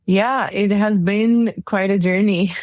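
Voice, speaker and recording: A woman in her forties from Pakistan, telling her life story in a relaxed interview. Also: mid-range voice, takes it slowly, no room sound, clean studio sound. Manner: relaxed storytelling with light laughs. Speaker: guest, engineering executive. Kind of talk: casual interview answers.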